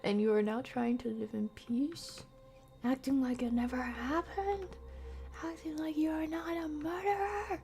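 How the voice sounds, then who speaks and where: A woman streamer voicing a vengeful, accusing victim from a horror game, breathy and whispery, with a taunting menace. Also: sits high, steady tone, a little slow, dark tone, pitched above the performer's own voice. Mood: bitter accusation. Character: vengeful, accusing victim haunting a murderer's dream. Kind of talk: dramatic read-out of horror game dialogue.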